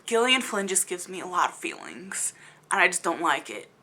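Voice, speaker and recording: A woman's voice: lowish voice, big pitch swings, moderate pace, sharp tone. A woman giving a casual, opinionated review to camera in a blunt, exasperated, conversational way, leaning on words for emphasis. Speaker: book reviewer hosting a video channel.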